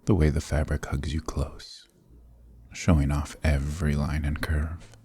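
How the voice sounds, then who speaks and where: A man playing a possessive, dominant vampire lord in an intimate ASMR roleplay, gravelly and breathy, often whispering, with courtly, teasing menace. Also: very deep voice, level tone, warm tone, full character voice. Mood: seductive, appraising. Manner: courtly, silky, teasing, menacing, drawn-out phrasing.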